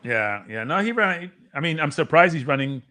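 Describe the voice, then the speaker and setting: A man's voice: fairly high pitch, animated, normal speed, sharp tone. A man hosting a watchalong, giving casual live sports commentary. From Jamaica.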